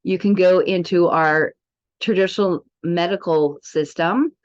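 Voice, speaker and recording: A woman giving an informal software demo as a practitioner, in a chatty, rambling, salesy manner. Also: lowish voice, steady tone, unhurried, clean tone. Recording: dull, muffled lo-fi sound.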